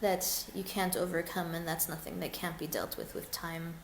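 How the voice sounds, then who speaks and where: A woman's voice: lowish voice, fairly even intonation, normal speed, creaky, roomy sound, slight background noise. A woman speaking as a guest in a live online Q&A, talking earnestly with many fillers and restarts. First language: English. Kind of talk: live webinar Q&A.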